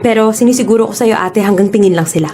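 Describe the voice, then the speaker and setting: An actress playing a young student, a vulnerable little sister, in a radio drama. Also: female voice, mid-range voice, quick pace, mellow, close to an everyday voice. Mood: playful, pleading. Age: young adult.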